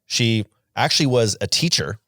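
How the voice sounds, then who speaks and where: A man's voice: mid-range voice, expressive, moderate pace, pushed and bright, no echo, studio quality. A man co-hosting a podcast, joking and playful in casual chat. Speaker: podcast co-host.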